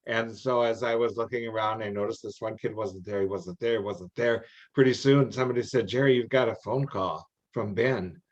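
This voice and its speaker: An older man answering questions in an informal meeting, explaining rules in a halting, anecdotal way. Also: on the low side. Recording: dull, lo-fi online call audio.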